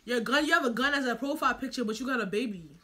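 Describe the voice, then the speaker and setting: A young woman hosting a vlog, chatting with strangers in loud, joking slang full of trash talk. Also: fairly high pitch, rapid-fire, a little hiss or hum. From New York. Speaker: vlogger host. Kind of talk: casual chat, video chatting with strangers.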